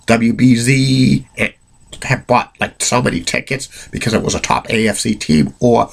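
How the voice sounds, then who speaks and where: Guy with a cold rambling thru sports history, husky gravelly voice, lots of restarts. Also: mid-range voice, fairly even intonation, unhurried. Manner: rambling, with many restarts and fillers; bluntly opinionated. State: has a cold. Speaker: amateur sports history narrator. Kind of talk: unscripted solo narration.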